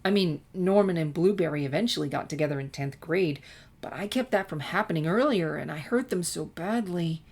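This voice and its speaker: A woman's voice: fairly low pitch, fairly quick, a little mellow, slightly rough. A woman voicing a regretful teenage girl who confesses past scheming in a hesitant, reflective way, in a story reading.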